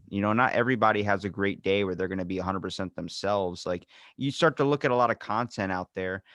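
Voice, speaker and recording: A young man hosting a podcast, talking bluntly and with some swearing, dropping to a low mutter and then rising when he gets worked up. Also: no room sound, clean studio sound. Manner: blunt, profane, swings from low mutter to excited. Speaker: podcast host. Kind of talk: casual interview chat.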